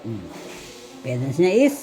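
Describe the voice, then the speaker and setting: Casting: Filipino vlog host, medium register, casual Taglish product chat, halting delivery with questions tossed to viewers. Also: mid-range voice, very animated, warm tone, soft background music. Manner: Taglish code-switching. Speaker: vlog host showing vintage audio gear.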